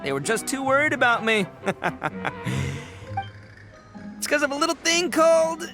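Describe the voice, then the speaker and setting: A man's voice: very high voice, sing-song, moderate pace, bright, forceful tone, faint music behind, exaggerated voice. A man voicing a brash, bluffing young oni gang boss in an anime game, boastful and theatrical, stammering when flustered. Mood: flustered, sheepish.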